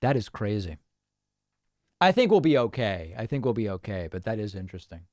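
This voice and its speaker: A man's voice: lowish voice, lively intonation, brisk, darkish tone, no echo. A man hosting a casual livestream, chatting and thinking aloud as he reads out viewer comments on a close microphone. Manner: chatty, thinking aloud with restarts and small laughs. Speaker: host.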